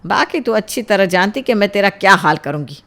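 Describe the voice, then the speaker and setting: Woman playing stern mother, middle-aged, forceful scolding delivery, threatening, family audio drama. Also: medium pitch, animated, a little fast. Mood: furious. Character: strict, furious middle-aged Indian mother scolding her children.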